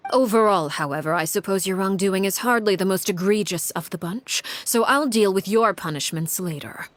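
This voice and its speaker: A woman voicing a cold, commanding crime-family matriarch in a game, speaking in a measured, formal, stern way. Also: medium pitch, close to an everyday voice. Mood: quietly threatening. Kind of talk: dramatic video game voice acting.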